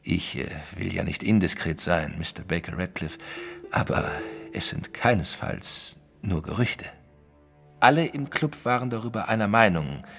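Proud, huffy gentleman, hugely animated. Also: low-pitched, moderate pace, gravelly, in a large hall, soft background music, heavily acted. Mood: confiding. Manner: huffy, emphatic, self-satisfied. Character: proud, stubborn, indignant gentleman art collector. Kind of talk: German audio drama acting.

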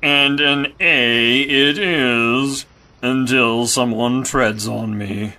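A man's voice: mid-range voice, steady tone, extremely slow, bright, forceful tone, exaggerated voice. A man playing a gloomy, self-important old male donkey in an audio drama, speaking with sarcasm and pomposity. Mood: condescending.

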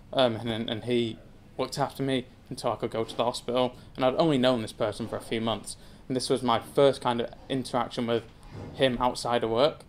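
A man's voice: mid-range voice, a little hiss or hum. Hesitant young man giving a talk. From England. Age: 20s. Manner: hesitant, many filler ums, casual and self-deprecating. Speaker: zoo community engagement assistant giving a talk. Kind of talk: personal story talk to an audience. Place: indoor wood-panelled function room with seated audience. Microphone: speaking at a lectern.

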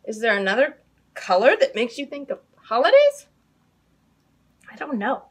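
An American woman, likely in her thirties, hosts children's storytime indoors with an expressive, sing-song delivery, speaking to young children. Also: fairly high pitch, moderate pace, breathy edge. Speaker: children's library storytime host. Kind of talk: children's storytime, interactive games and picture book reading. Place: small indoor room hung with fabric drapes.

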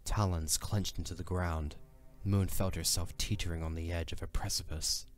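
A man's voice: sits low, steady tone, normal speed, soft background music. A man narrating a scripted fantasy audio drama as a dramatic storyteller.

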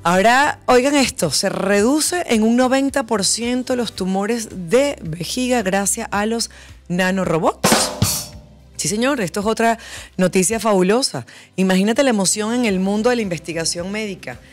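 An animated woman in her forties hosts an upbeat chat show from a small studio mic, voice sitting at a middle pitch. Faint music plays softly behind her. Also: moderate pace. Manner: animated, exclaiming, laughing often. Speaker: host. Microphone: studio mic on boom, wearing headphones.

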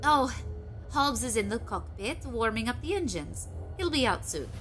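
An actress playing a friendly, sprightly alien co-pilot, chatty and playful, in a sci-fi audio drama. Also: female voice, on the high side, big pitch swings, normal speed, fairly bright tone, a little hiss or hum.

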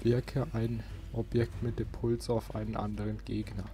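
A man's voice: lowish voice, monotone, moderate pace, dark tone. A man doing casual let's play commentary, thinking aloud with playful little interjections. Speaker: let's player, channel host. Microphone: close desk microphone. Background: game music.

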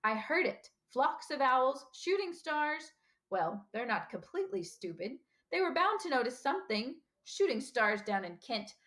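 A woman's voice: high-pitched, big pitch swings, unhurried, fairly bright tone, voice pushed up, full character voice. A woman voicing a stern older female professor in an audiobook, with a clipped, emphatic, impatient manner. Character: stern older female professor, brisk, indignant, easily ruffled. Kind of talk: audiobook character dialogue.

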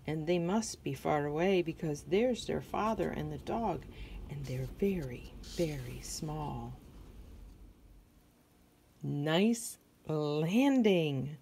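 Slow, low-voiced American woman reading to children. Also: expressive, gravelly, dark tone, a little hiss or hum. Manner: simple questions and observations addressed to children. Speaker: picture book reader for children. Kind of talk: read-aloud storytelling with asides to young listeners.

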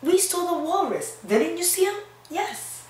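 A woman in her thirties, a preschool teacher reading aloud and talking to young children in a simple, expressive way with questions for them. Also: very high-pitched, a little slow. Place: indoor classroom-like room. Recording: roomy echo, distant mic, faint noise.

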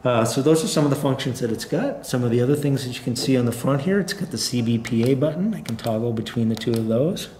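A man's voice: medium pitch, fast, hardly any pauses, warm tone. A middle-aged man informally demonstrating a product step by step, in plain-spoken, unscripted talk. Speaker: company representative presenting a product. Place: indoor room at a tabletop. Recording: roomy echo, faint background noise.

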